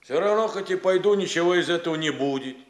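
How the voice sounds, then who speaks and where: A man's voice: on the high side, quick pace, lightly acted. Man playing insolent male servant, sullen, folksy, grudging, stubborn, stage comedy audio play, roomy recording. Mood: defiant, needling. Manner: grudging, folksy, talks back.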